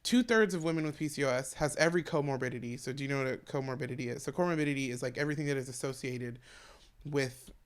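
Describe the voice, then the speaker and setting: A woman with a deep voice, a stand-up comedian talking frankly as a podcast guest in casual conversation. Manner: frank, talks fast in long run-on explanations.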